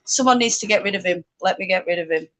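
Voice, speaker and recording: A woman's voice: lowish voice, quick pace, a bit bright. A woman hosting a casual livestream chat, laughing as she reads comments aloud. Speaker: spinning channel host.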